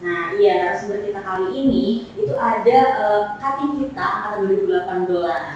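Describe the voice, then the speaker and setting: A woman's voice: medium pitch, fairly quick, a little mellow, dull audio, a little hiss or hum. A woman in her twenties co-hosting a casual student podcast, chatty and friendly with "eh" fillers. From Serang, Banten. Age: 20s. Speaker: podcast co-host. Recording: distant echoey room.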